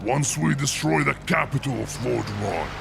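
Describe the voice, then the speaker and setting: A man's voice: mid-range voice, lively intonation, normal speed. A man playing a proud, stern orc warchief in a fantasy game cutscene, growling with weighty authority. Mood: proud, resolute. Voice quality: heavily gravelly, growling. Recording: loud ominous music under the voice.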